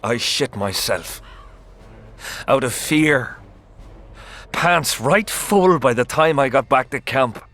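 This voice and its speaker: A man's voice: mid-range voice, expressive, takes it slowly, long pauses, fairly bright tone, soft background music. An actor playing a shaken, embittered common soldier in a video game, telling his story bluntly and dramatically. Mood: bitter, ashamed. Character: shaken, embittered low-ranking soldier, humiliated and haunted.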